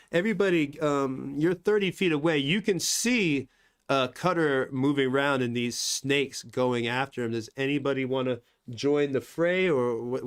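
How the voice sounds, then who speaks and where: A man's voice: medium pitch, clean tone. A man acting as game master, narrating combat and ruling on dice rolls in loose, chatty talk full of "you know". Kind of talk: running a tabletop game, casual narration.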